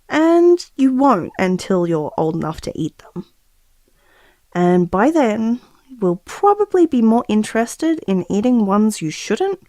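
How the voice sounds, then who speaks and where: A woman's voice: fairly high pitch, warm tone, no echo, clean studio sound. A woman acting a doting expectant mother who muses affectionately and wryly, as if taping an audio diary for her baby. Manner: affectionate, musing, wryly self-aware. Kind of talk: intimate audio diary monologue in a drama.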